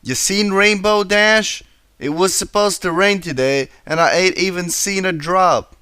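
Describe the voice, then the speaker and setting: Man voicing a brusque, hot-tempered country mare, pitched high. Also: unhurried, sharp tone, smooth, no echo, clean studio sound. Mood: brisk, folksy. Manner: folksy, brusque drawl. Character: hot-tempered, hardworking young female country farm pony.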